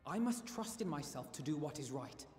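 An actor playing an idealistic young nobleman in a game, formal, deliberate and sincere. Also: fairly high pitch, sing-song. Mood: earnest, resolute. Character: earnest, idealistic young nobleman, resolute and thoughtful. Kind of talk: video game cutscene acting. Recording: echoey hall, clear music behind.

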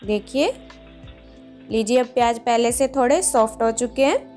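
A woman hosting a home cooking video, patiently explaining each step in a calm instructional voice-over. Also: a little high, a little slow, faint music behind. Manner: patient, explains each step, often says "dekhiye, lijiye". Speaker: cooking channel host.

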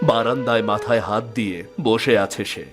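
A man's voice: mid-range voice, steady tone, fairly quick. A man giving scripted storybook narration for a cartoon fairy tale. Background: music.

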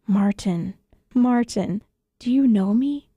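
Woman as a hushed, mysterious spirit voice. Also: medium pitch, slow, husky, no echo, clean studio sound. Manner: whispering, hushed. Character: whispering mysterious spirit voice, solemn and gentle.

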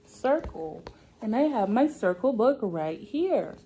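A woman's voice: fairly high pitch, big pitch swings, slow pace, dark tone, faint music behind. A young woman speaking as a preschool teacher, reading aloud to small children in simple, repeated, stretched-out phrases. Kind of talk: children's read-aloud lesson. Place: indoor classroom.

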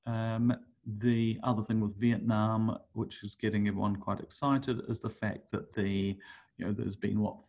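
Man, New Zealand accent, middle-aged, conference talk, somewhat low pitch, hesitant, filler-heavy. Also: a little mellow, muffled recording. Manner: hesitant, many fillers and restarts. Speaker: conference speaker. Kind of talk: webinar presentation over slides.

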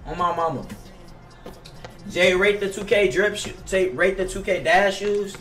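A man's voice: high-pitched, measured pace. A young man livestreaming to his chat, brash and combative, with heavy slang and swearing. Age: young adult. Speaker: gaming livestreamer. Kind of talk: casual livestream chat to viewers. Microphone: gaming headset. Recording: roomy, distant sound under loud music. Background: loud hip hop music.

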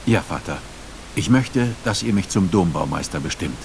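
A sincere, deep, grieving builder; hiss audible. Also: male voice, lively intonation, moderate pace. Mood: earnest. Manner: earnest, sincere. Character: earnest grieving male master builder. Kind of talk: game voice acting. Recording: noticeable hiss.

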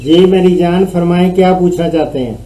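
A man's voice: high-pitched, moderate pace, warm tone. A middle-aged man from Pakistan giving farm advice to callers in a friendly, joking phone-in chat, recorded on a webcam in a small room. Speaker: agricultural advisor answering callers.

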